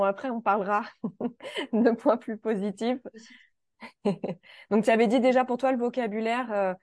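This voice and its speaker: A woman's voice: mid-range voice, a little mellow, dry recording, studio quality. A woman hosting a casual conversation about learning French, thoughtful and searching for her words with light laughs.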